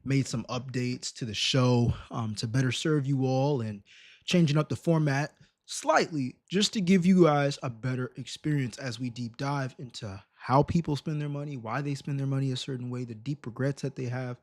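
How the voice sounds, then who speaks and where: Casual, slightly raspy male livestream host. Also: mid-range voice, normal speed, darkish tone, no echo. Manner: slangy, emphatic, rhetorical questions. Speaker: live stream host reacting to videos.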